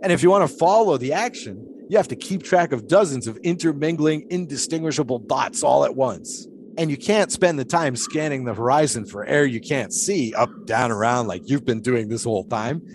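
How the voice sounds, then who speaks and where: A male actor plays an eccentric 1920s flagpole sitter in an audio drama, a folksy man who loves to philosophize. He speaks at a middle pitch in long, pious musings full of old-time idiom. Background ambience sits clearly behind him. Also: moderate pace, slight background noise, close to an everyday voice. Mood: earnest, instructive.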